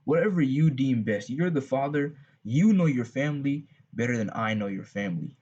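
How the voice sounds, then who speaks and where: Texan male host, mid pitch, husky voice, loose rambling delivery, dull home recording. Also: animated, normal speed, darkish tone. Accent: Southern US, Texas. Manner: rambling, restarts, frequent asides. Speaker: religious channel host. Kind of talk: unscripted advice talk.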